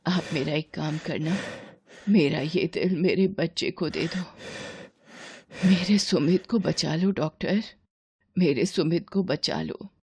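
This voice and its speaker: A woman acts out a frail elderly mother from a cartoon melodrama. She is tender and self-sacrificing, with a slow, weak delivery. Her words come out as sorrowful pleading. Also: low-pitched, a little mellow, slightly rough, exaggerated voice. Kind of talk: melodramatic Hindi cartoon story acting.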